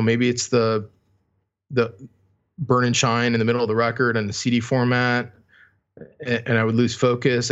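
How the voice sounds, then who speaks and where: A middle-aged man co-hosting a podcast, casually talking music in a tentative, thinking-aloud way on a slightly lo-fi track. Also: medium pitch. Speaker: podcast co-host.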